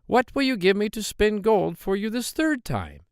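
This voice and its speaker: A man voicing a droll, sly little dwarf in a storybook, with a high, lilting, wheedling voice. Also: wildly swooping pitch, normal speed, smooth, no room sound, clean studio sound, full character voice. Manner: sing-song, wheedling, theatrical. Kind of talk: storybook character voice.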